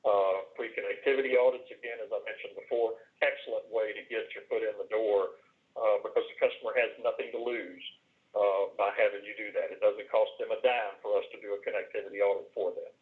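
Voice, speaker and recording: A man giving a halting, conversational business presentation over a tinny telephone conference line. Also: fairly low pitch, fairly even intonation. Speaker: business presenter, managed IT services provider. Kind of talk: sales presentation on a conference call. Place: conference call.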